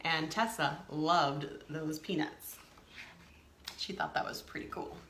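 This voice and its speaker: A woman reading a picture book aloud to young children, expressively, pausing to add simple explanatory asides. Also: low voice, fairly bright tone, roomy sound, a little hiss or hum. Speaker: storytime reader.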